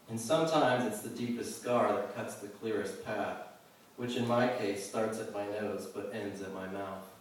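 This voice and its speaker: A man reading his own poetry at a live event, measured and deliberate in the poems and offhand in between. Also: fairly low pitch. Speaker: poet reading own work. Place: live reading venue, indoors. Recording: roomy echo, distant mic, faint noise.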